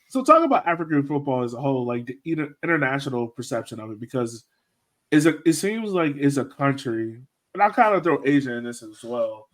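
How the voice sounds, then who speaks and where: A man's voice: mid-range voice, fairly bright tone, poor audio. A man hosting a podcast, chatting informally with loose phrasing and a lot of "like". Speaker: podcast host. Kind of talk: casual podcast chat.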